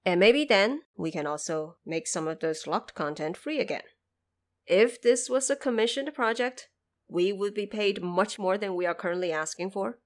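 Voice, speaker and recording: A woman from China reading a scripted announcement to listeners as host and producer, with emphatic, clearly structured delivery, recorded in a bedroom home studio. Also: medium pitch, moderate pace. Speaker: podcast host and audio drama producer.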